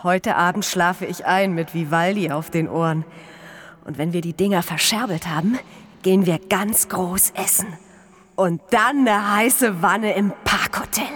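Brash streetwise homeless woman, lowish voice. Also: a little slow, a bit bright. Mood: excited, coaxing. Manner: colloquial, urging, exclamatory. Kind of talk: German radio crime drama acting. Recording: noticeable background noise.

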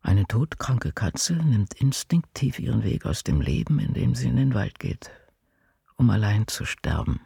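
An actress playing an ailing elderly American novelist in a radio drama, brooding and blunt, speaking in a reflective, deliberate way. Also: female voice, very deep voice, very animated, gravelly, music in the background, heavily acted. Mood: grave, defiant. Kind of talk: radio drama acting, intimate monologue.

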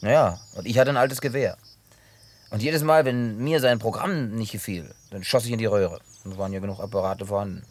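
A man playing a laid-back, gruff survivor. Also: lowish voice, brisk, close to an everyday voice. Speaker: lead character in a dubbed film. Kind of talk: dubbed film acting.

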